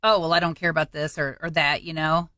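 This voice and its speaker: A woman's voice: low voice, flat delivery, quick pace, sharp tone, dry recording. A woman co-hosting a casual chat podcast, speaking in a frank, emphatic way. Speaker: podcast co-host.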